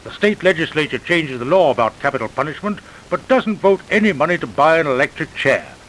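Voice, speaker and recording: An actor playing a kindly, encouraging prison clerk with a genial, reassuring manner, in vintage radio drama style. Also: male voice, fairly high pitch, very animated, a little fast, a bit bright, low-quality recording. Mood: cheerful, encouraging. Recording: hissy vintage radio recording.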